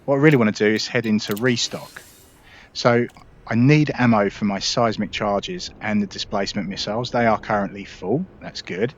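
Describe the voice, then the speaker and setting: A man hosting a casual gameplay tutorial, relaxed and explanatory, talking viewers through each step. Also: on the low side, moderate pace, highs cut off, slight background noise. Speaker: gaming video host.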